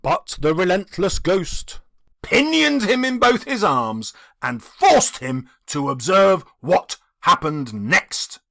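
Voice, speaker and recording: A man narrating a classic novel as an audiobook in a theatrical, expressive storytelling style. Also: high-pitched, takes it slowly, creaky. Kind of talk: dramatic scripted storytelling.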